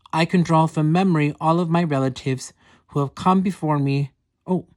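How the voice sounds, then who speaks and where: A woman's voice: low voice, a little mellow, lightly acted. A woman voicing an earnest young female yeti, reading her diary in stiff, formal, broken English. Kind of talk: reading diary entries aloud.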